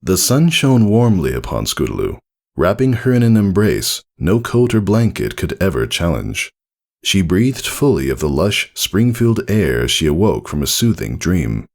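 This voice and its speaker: A man reading a scripted story aloud as narrator, in a measured, deliberate and expressive way. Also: low voice. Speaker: narrator reading fan fiction.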